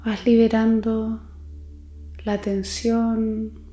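A woman leading a guided meditation, speaking gently and soothingly in a soft voice with long pauses. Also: fairly high pitch, flat delivery, roomy sound. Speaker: psychologist guiding meditation. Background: music.

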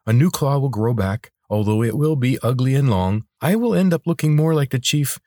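A man's voice: medium pitch, animated, moderate pace, dark tone, dry recording, studio quality, voice pushed up, close to an everyday voice. A man voicing a small, loyal, fretful lizard creature in an audiobook, with formal, deferential phrasing. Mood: reassuring. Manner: formal, deferential phrasing addressing a master as sir. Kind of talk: audiobook character voice.

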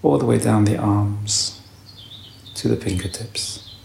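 A man leading a guided meditation with calm, gentle, unhurried instructions and long pauses between short phrases. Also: on the low side, gravelly. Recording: roomy echo, faint background noise.

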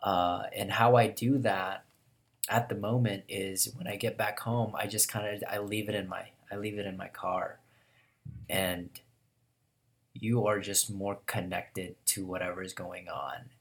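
Deep-voiced hoarse man hosting. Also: little pitch movement, unhurried. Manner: upbeat, enthusiastic, encouraging. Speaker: podcast host. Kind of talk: casual chat.

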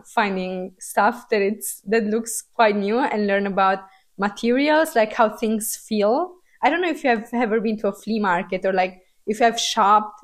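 A young woman, a Romanian speaker, talks in a mid-range voice. She hosts a personal podcast in a casual, conversational way, as if thinking aloud. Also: mid-range voice. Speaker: podcast host.

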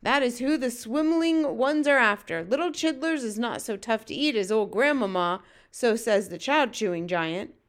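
A woman's voice: on the high side, expressive, moderate pace, few pauses. A woman voicing a kindly, flustered male giant from a children's storybook, with folksy garbled grammar and made-up words. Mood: explaining, earnest.

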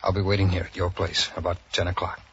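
Low, sharp-voiced pleading ex-con. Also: male voice, monotone, quick pace, breathy edge, full character voice. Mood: pleading, evasive. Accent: American. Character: down-on-his-luck ex-convict old pal, pleading, evasive. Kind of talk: 1940s radio drama acting. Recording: dull vintage radio recording.

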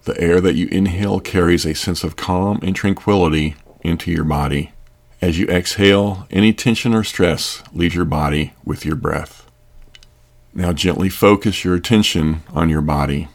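A man narrating a scripted sleep meditation in a calm, soothing, gentle manner. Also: low voice, moderate pace.

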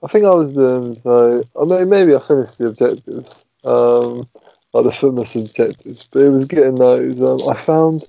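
A man's voice: medium pitch, normal speed, vocal fry, dark tone, no room sound, muffled recording. A man co-hosting a casual podcast, talking hesitantly with many ums, recorded on an old phone headset. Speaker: podcast co-host.

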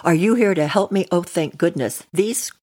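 A woman's voice: on the low side, normal speed, a little mellow, natural voice. Woman doing a whiny big coyote. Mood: pleading. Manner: whining, pleading. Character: biggest coyote, whiny, scheming male.

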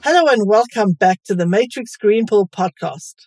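A woman hosting a podcast, reading an introduction and asking friendly, clearly spoken questions. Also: medium pitch, expressive, moderate pace, darkish tone, no echo, soft background music. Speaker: podcast host. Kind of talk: scripted introduction and interview questions.

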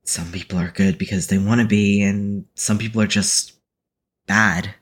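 An actor in an audio drama playing a hesitant, self-doubting young man who speaks tentatively, with a husky voice. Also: fairly low pitch, steady tone. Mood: uneasy, tentative. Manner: halting, tentative.

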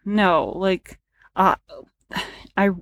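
A woman's voice: mid-range voice, steady tone, extremely slow, a bit bright, no room sound. Rambling woman in casual chat. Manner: rambling, many "like" fillers, sighs, frustrated. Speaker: co-host reacting to a competition show.

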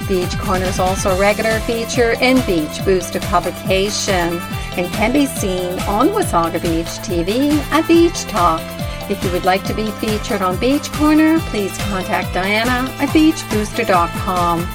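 A woman's voice: medium pitch, lively intonation, normal speed, music in the background. Canadian woman hosting local radio. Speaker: radio show host.

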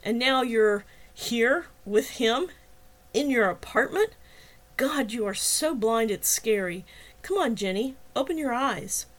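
A woman's voice: medium pitch, animated, a little slow. A woman voicing a scornful, belligerent male patrol officer in an audiobook, sneering, sarcastic and accusing. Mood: mocking, accusing. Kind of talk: dramatized audiobook dialogue.

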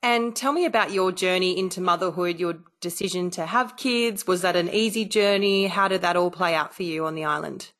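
A woman's voice: lowish voice, brisk, few pauses, fairly bright tone. Upbeat woman podcast host. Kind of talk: podcast hosting, scripted intro then chat.

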